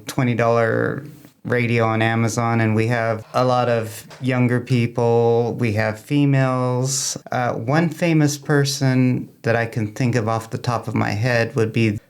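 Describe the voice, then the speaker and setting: Male interview guest, creaky voice, chatty explainer who tells anecdotes and quotes people in conversation. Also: mid-range voice, little pitch movement, measured pace. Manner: anecdotal, acts out quoted remarks. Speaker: interview guest, amateur radio club member.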